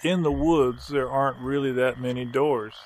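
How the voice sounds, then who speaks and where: An American man playing a mild, agreeable, laconic reminiscer with a gravelly voice and dry deadpan delivery in improvised folksy audio comedy. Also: medium pitch, moderate pace, slight background noise, close to an everyday voice.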